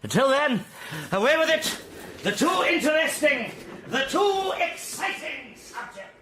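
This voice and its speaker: Theatrical, volatile eccentric gentleman, wildly swooping voice. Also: very high voice, very animated, a little slow, slight background noise, exaggerated voice. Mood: agitated, frantic. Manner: theatrical, florid, swinging between outbursts and hushed brooding. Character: eccentric, melodramatic, self-pitying legless gentleman, volatile. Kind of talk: radio drama acting.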